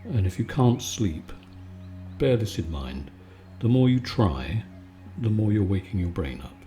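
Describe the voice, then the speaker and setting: A man giving a calm, soothing, conversational bedtime chat in a relaxed ASMR style. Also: fairly low pitch, measured pace, faint music behind. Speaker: video maker and host.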